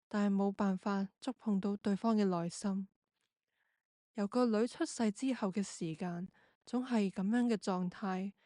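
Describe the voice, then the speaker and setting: A woman's voice: mid-range voice, dark tone, no room sound, clean studio sound. A woman narrating a scripted radio drama.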